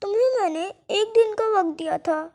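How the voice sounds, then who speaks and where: Stern crow landlord, very high-pitched, acted out by a narrator. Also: a little fast, darkish tone. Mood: stern, demanding. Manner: scolding, threatening. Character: Kalu, male crow landlord, stern, scheming and demanding. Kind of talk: children's cartoon story dialogue.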